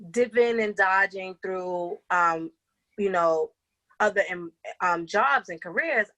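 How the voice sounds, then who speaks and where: Bright-voiced Bronx woman. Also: mid-range voice, low-quality recording. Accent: Bronx New York. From the Bronx, New York City. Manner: earnest, many "um" pauses, laughs easily. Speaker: podcast guest, empowerment coach. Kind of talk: casual interview talk.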